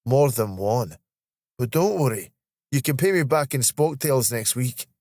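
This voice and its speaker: Playful Scottish man in his thirties, acted by a male narrator, teasing, lifted pitch, close dry sound, storybook dialogue. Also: lively intonation, a little slow, a little mellow, dry recording, lightly acted.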